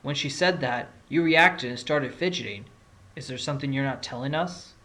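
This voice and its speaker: A man's voice: mid-range voice, moderate pace, sharp tone, natural voice. A man playing a confident fox defence lawyer with an assertive, probing courtroom manner in an audio drama.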